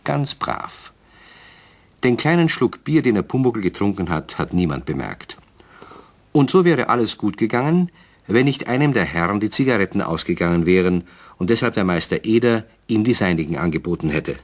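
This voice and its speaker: A man serves as the narrator of a radio play. His voice is on the low side. He tells the tale in scripted storytelling style, setting out each scene. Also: big pitch swings, normal speed.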